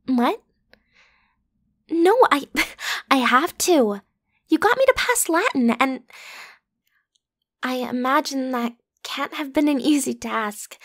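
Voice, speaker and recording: A woman playing a bratty, playful popular student girl in an ASMR roleplay, with casual, slangy, teasing delivery. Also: sits high. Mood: pouty, coaxing. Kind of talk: ASMR roleplay acting, one side of a conversation.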